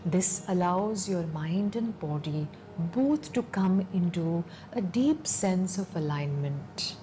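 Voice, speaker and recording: A woman leading a guided meditation in a calm, soothing, measured way, leaving long pauses between phrases. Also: on the low side, a little hiss or hum. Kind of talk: slow guided meditation narration. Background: background music.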